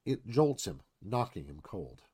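Animated man narrating a screenplay. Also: on the low side, unhurried, slightly rough. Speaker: narrator reading stage directions. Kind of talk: scripted screenplay narration, live read.

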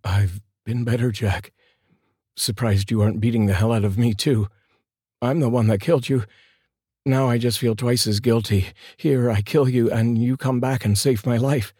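A man's voice: mid-range voice, fairly even intonation, moderate pace, warm tone, husky, no echo, studio quality, voice pushed up, natural voice. A man voicing a despairing, guilt-ridden drunk who speaks in resignation. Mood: guilty.

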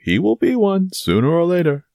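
A man's voice: fairly low pitch, big pitch swings, normal speed, dry recording, clean studio sound, voice pushed up. A man voicing a boastful, self-satisfied musketeer in an audiobook adventure story, exclaiming theatrically. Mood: emphatic. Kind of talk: dramatised audiobook reading of a classic adventure novel.

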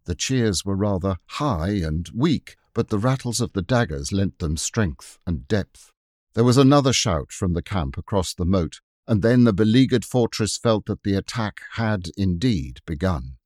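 A man narrating a classic children's book, his voice sitting low but moving a lot in pitch. The sound is dry and close with no room to it. He tells the story in an expressive, measured way. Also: no echo. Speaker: audiobook reader. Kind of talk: scripted narration.

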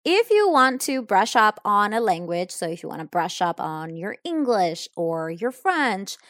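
A young woman speaks English slowly and deliberately, taking her time over each answer. She sounds thoughtful and a little hesitant, filling gaps with soft ums. Her voice is close and dry, with no room sound around it. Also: medium pitch, sing-song, dry recording. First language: Italian. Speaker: English learner answering questions. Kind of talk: unscripted answers in an English lesson.

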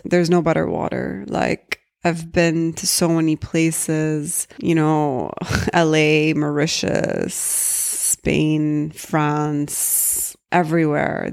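A young woman, hosting a solo podcast in a chatty, candid way and mixing Arabic phrases into her English, her voice a little rough from an early morning. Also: deep voice, darkish tone. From Egypt. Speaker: podcast host.

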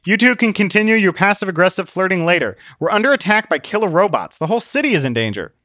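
A man acting an exasperated, high-voiced young hero's companion. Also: wildly swooping pitch, fairly quick, pushed and bright, no room sound, clean studio sound, exaggerated voice. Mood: urgent. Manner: indignant, questioning. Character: exasperated, incredulous male companion, earnest and urgent. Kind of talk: audiobook character voice.

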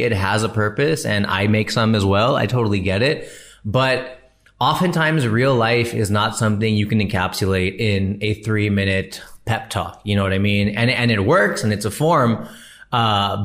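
A man who hosts a podcast and does comedy, giving casual, slangy advice to a listener and laughing at his own jokes. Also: lowish voice. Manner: chatty and slangy, calls listener bro and dude.

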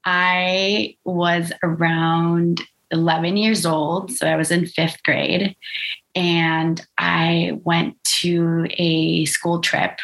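A woman's voice: on the low side, flat delivery, drawn-out words, creaky, fairly bright tone, poor audio. A young woman from Costa Rica telling a personal story in a reflective way, with many fillers and restarts.

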